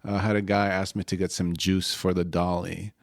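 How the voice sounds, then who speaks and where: Man from Israel, Arabic native, very low, gravelly, dry close sound, halting. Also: level tone, no room sound. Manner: halting, restarts with fillers, short agreeing answers. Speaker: guest. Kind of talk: casual interview.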